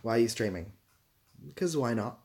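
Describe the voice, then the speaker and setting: A man's voice: medium pitch, expressive, moderate pace, a little mellow. A young man hosting a casual livestream, reading out comments and giving dry, self-deprecating, rambling answers. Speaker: livestream host.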